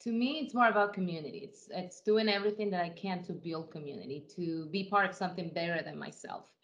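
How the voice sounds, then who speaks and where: A woman's voice: fairly low pitch, fast, dull audio. A woman from Ecuador, a guest in an interview, answering in an earnest, reflective way with frequent restarts.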